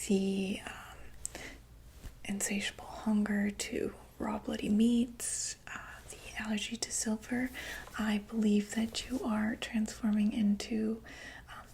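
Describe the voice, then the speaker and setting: A woman whispering breathily in an ASMR medical roleplay, explaining things as a calm doctor in a gentle, hesitant, clinical way. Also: medium pitch, a little hiss or hum, rough recording. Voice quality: breathy, mostly unvoiced whisper. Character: calm, attentive doctor to monsters.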